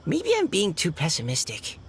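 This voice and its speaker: A woman's voice: deep voice, huge pitch swings, normal speed, heavy background noise, full character voice. A woman playing a modest, worrying young woman in a video game, hesitant and apologetic. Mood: doubtful. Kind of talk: video game character acting.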